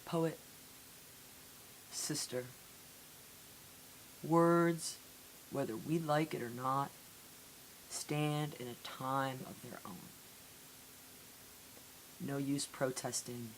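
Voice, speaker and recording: Slow-paced woman reciting poetry over faint hiss. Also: low voice, low-quality recording. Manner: deliberate, measured phrasing with dramatic pauses. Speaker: poetry reader. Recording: amateur recording with audible hiss.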